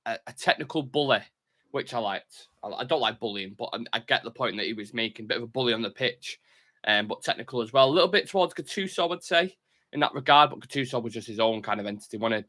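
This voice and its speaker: A man's voice: medium pitch, sharp tone. A man hosting a football fan live stream, chatting casually and reading out viewer comments, blunt and opinionated. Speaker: host of a football fan show.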